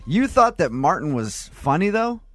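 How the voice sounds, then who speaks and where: A man's voice: on the high side, big pitch swings, moderate pace, a bit bright, dry recording, faint music behind. A man hosting a morning radio show, bantering in a joking, teasing way.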